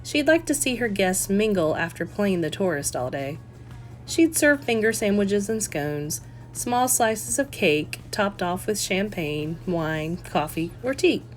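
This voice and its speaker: A woman reading a novel aloud as an audiobook, in an expressive storytelling style. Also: medium pitch, measured pace, soft background music. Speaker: audiobook reader.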